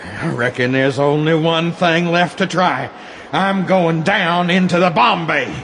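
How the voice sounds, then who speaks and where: An actor playing a gung-ho, devout and reckless American bomber pilot with a Southern drawl in a radio play. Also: male voice, on the high side, unhurried. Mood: bossy, determined. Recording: roomy, loud aircraft drone noise.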